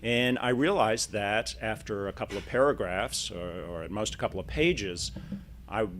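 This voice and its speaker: A middle-aged man gives a personal account, halting and repeating words as he goes. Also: fairly low pitch, noisy background. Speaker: technology writer.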